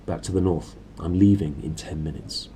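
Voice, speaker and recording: A man's voice: deep voice, normal speed, warm tone, a little hiss or hum, lightly acted. A man voicing a curt, secretive lord and uncle in a storybook, giving terse orders. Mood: firm.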